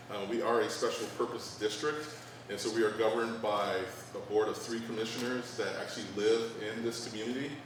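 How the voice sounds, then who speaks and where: A man, a fire chief, hoarse, giving a friendly report at a community meeting and laughing easily, recorded in a meeting room. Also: medium pitch. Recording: echoey meeting room, distant mic, background noise.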